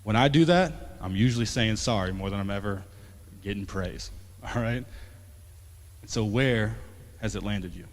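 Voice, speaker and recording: A man in his late twenties preaching a sermon as pastor, talking conversationally and swinging from emphatic to quiet. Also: on the low side, normal speed, long pauses. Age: 20s. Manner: conversational, rising to emphatic then dropping soft. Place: church sanctuary. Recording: long church hall reverb.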